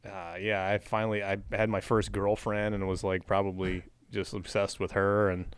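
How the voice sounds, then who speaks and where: A man in his forties co-hosting a casual sports podcast, dropping dry, quick quips. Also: on the low side, flat delivery, clear, resonant tone, dry recording. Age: 40s. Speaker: podcast co-host, editor.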